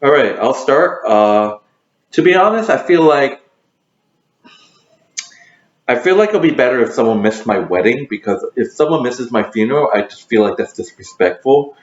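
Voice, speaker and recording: A man's voice: medium pitch, expressive, normal speed. A man hosting a casual question game, talking with many fillers and restarts. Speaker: host. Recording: roomy, dull lo-fi sound.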